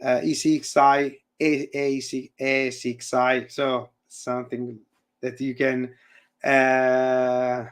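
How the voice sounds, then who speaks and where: A man's voice: mid-range voice, level tone. Italian guy on a video call, english isn't his first language, lots of uhs and restarts, audio fades in and out. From Italy. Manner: halting, searching for words, frequent fillers and restarts. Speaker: guest presenter and university lecturer. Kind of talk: casual chat and live coding demo on a video call. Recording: audio fading in and out.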